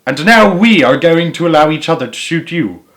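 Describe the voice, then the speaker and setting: A man's voice: on the high side, animated, moderate pace, a bit bright, voice pushed up. A man voicing a giant duck that coolly mocks the hunters in a children's story. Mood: mocking. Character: giant cold, mocking duck.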